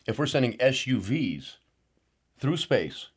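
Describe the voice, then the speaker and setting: A man playing an excitable, paranoid male conspiracy pundit who speaks with indignant outrage. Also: slow, slightly rough, full character voice. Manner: indignant, excitable, outbursts of rising pitch. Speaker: show regular. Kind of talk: comedic parody show.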